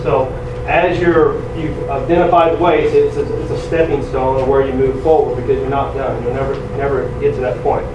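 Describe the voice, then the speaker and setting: A man in his forties giving a loud, energetic, self-deprecating presentation to a conference audience. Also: medium pitch, moderate pace, lo-fi sound. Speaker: conference speaker. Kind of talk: presentation talk. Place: large conference room. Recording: echoey room from far off, noisy.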